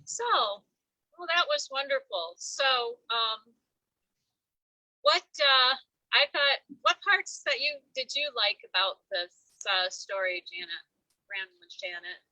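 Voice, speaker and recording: An older woman hosting an informal book discussion, reflective and halting as she talks. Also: on the high side, big pitch swings, bright, forceful tone, no echo, rough recording. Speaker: host of story discussion.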